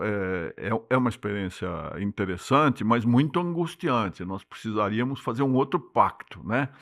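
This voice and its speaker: An older man with a São Paulo accent, speaking as an interview guest in a measured, explanatory way and often ending points with a tag question. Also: medium pitch, fairly bright tone, no echo. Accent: São Paulo Portuguese. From Brazil. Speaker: interview guest, city climate secretary. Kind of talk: unhurried interview talk.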